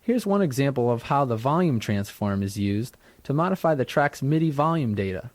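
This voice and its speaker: A man's voice: mid-range voice, lively intonation, moderate pace, no room sound. Dry-sounding man narrating a tutorial. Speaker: software tutorial instructor. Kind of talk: scripted instructional narration. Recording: dry studio narration from a 1990s tutorial cassette.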